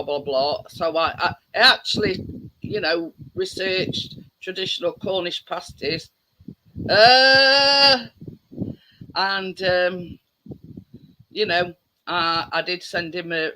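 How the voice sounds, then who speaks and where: A woman's voice: fairly low pitch, sharp tone, a little hiss or hum, rough recording. A woman hosting a casual live chat, rambling with lots of fillers and frequent laughs. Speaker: live stream host.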